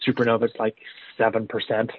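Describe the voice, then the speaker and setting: A man's voice: on the low side, monotone, fast talker, highs cut off, a little hiss or hum. A man reporting figures in a business meeting, hesitant and filled with uhs and ums. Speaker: team member reporting figures.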